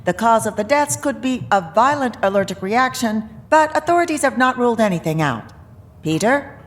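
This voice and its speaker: An actress playing a composed female television news anchor with a crisp newsreader delivery. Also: on the high side, expressive, normal speed, close to an everyday voice. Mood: newsreading.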